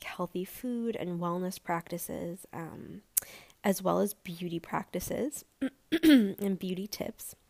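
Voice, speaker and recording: A woman hosting her own podcast in a gentle, rambling chat, her voice a little raspy and hoarse, with plenty of fillers. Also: on the low side. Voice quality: raspy, breathy. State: slightly hoarse. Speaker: podcast host. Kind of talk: solo podcast intro, casual chat.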